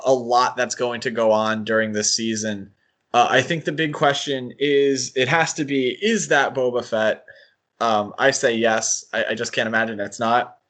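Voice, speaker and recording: A man's voice: medium pitch, moderate pace, fairly bright tone. A man co-hosting a podcast, talking casually and thinking aloud as he speculates, with hedges and asides. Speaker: podcast co-host.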